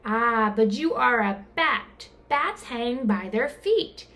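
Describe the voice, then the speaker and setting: A woman voicing a peculiar, matter-of-fact bat in a children's story, speaking in a drawn-out, emphatic, correcting way. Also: a little high, lively intonation, a bit bright, exaggerated voice. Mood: know-it-all.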